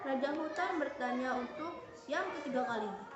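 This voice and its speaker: A woman narrating a fable for a school puppet show, in a steady storybook reading. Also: sits high, fairly quick, highs cut off. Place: school room. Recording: echoey and noisy room.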